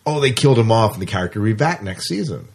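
A man hosting a casual podcast chat, putting questions to guests in an informal, halting way. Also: lowish voice, very animated, gravelly, darkish tone, slight background noise. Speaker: podcast host. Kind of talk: casual chat, asking guests about sci-fi news.

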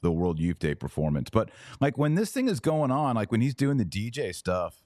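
A man's voice: lowish voice, very animated, normal speed, darkish tone, dry recording, clean studio sound. A man hosting a podcast interview, chatting informally and thinking aloud as he goes. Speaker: podcast host. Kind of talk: casual interview chat.

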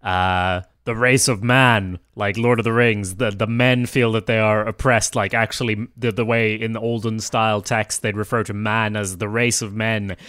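A man's voice: on the low side, moderate pace, hardly any pauses, no room sound, clean studio sound. A man whose first language is Swedish, musing through a casual story brainstorm with many fillers. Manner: relaxed, musing, hesitant with fillers. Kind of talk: casual creative brainstorming chat.

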